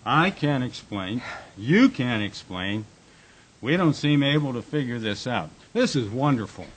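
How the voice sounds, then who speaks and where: A man plays a teasing, exasperated bar-owner husband in a radio drama. His mid-pitched voice is rough-edged and moves up and down freely. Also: lively intonation, a little slow, rough recording.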